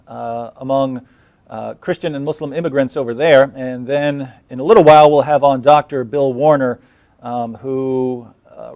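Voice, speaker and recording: A man hosting an interview show, speaking in halting, deliberate phrases. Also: medium pitch, darkish tone, dry recording. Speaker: host interviewing guests. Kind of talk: hosted interview talk show.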